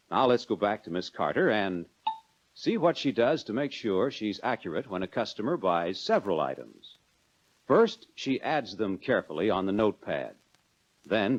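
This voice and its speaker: An American man narrating a 1940s instructional film in a measured, instructive manner, addressing the viewer directly. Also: on the low side, slightly rough. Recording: dull lo-fi 1940s film soundtrack.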